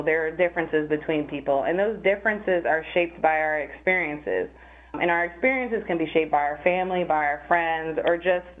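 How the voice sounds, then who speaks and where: A young adult woman, a physical therapist, answering interview questions in a measured, explanatory way. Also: fairly low pitch. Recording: phone-like narrow sound.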